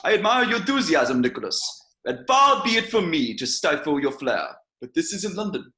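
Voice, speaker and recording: Jovial police chief, bright and roomy, acted by a man. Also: sits high, sing-song, normal speed, full character voice. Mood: genial, cajoling. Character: jovial senior village police chief, fatherly and cajoling. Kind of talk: amateur screenplay table read. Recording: roomy home microphone sound.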